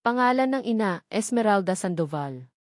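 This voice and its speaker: Lady storyteller, measured dramatic narration, mid pitch, very dry recording. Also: lively intonation, dry recording.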